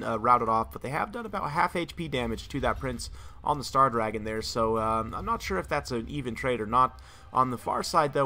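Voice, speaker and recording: A man with a slightly hoarse, strained voice casually commentating a strategy game battle, talking steadily with frequent "uh" and restarts. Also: medium pitch, pushed and bright, a little hiss or hum. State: slightly hoarse, losing his voice.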